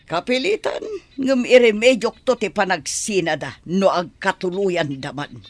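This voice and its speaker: A woman playing a proud, fussy middle-aged mother in a radio drama, with an Ilocano accent and drawn-out, expressive delivery. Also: medium pitch, no echo. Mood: disapproving.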